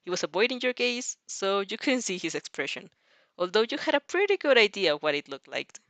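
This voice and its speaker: A woman's voice: mid-range voice, very animated, fairly quick, a bit bright, no room sound. A woman reading fan fiction aloud expressively, with casual asides to her listeners. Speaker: reader and fanfic reactor. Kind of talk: expressive story narration with casual reaction asides.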